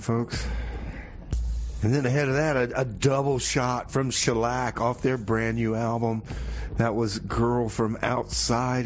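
A man hosting a community radio show with casual, comedic DJ patter, rambling in a folksy way and repeating his phrases. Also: medium pitch.

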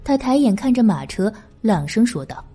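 Casting: female audiobook narrator for historical fiction, high voice with mellow tone, music underneath. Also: very animated, moderate pace, husky. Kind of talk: scripted narration of a historical novel. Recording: background music.

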